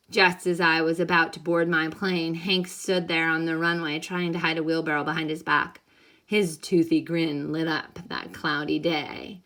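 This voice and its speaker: Bright-voiced woman reading a storybook aloud. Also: on the low side. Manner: animated, pausing to ask listeners questions. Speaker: teacher reading a picture book aloud to children. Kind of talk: expressive storybook read-aloud with think-aloud questions.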